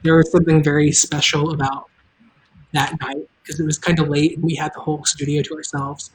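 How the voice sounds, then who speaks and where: A show creator hosting a live stream, talking casually and haltingly about making the show, with offhand asides. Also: medium pitch, level tone, poor audio. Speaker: show creator and table read host.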